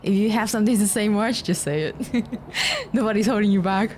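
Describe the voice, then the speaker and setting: A woman livestreaming a game, playfully reacting and reading dialogue aloud in a giggly, excitable way. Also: mid-range voice, fairly quick, slight background noise. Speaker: streamer. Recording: game music behind the voice. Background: game music.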